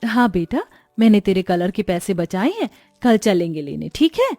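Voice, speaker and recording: A woman playing a kind village mother and wife in a cartoon story, speaking in a gentle, earnest way. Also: mid-range voice, big pitch swings, moderate pace, dry recording, studio quality, faint music behind. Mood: gentle, caring.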